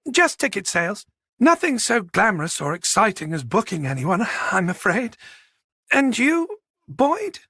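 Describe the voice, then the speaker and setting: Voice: mid-range voice, very animated, measured pace, bright, forceful tone, husky, no echo, clean studio sound, voice pushed up, full character voice. An audiobook narrator voicing a genteel, chatty woman with an old-fashioned, playful way of speaking. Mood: friendly, then curious, teasing. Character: genteel, chatty woman, a box office manager.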